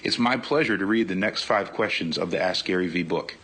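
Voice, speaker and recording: A man's voice: deep voice, a little fast, fairly bright tone, a little hiss or hum. A man reading questions aloud from a book as a guest reader. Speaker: guest reader, nonprofit executive.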